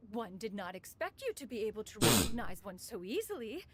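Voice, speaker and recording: A woman's voice: mid-range voice, very animated, husky. A woman voicing a formal, aloof immortal lady in a video game who speaks of herself in a grand, old-fashioned way. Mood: flustered. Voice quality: archaic formal speech, refers to herself as "one". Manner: measured and grand, flustered when caught out.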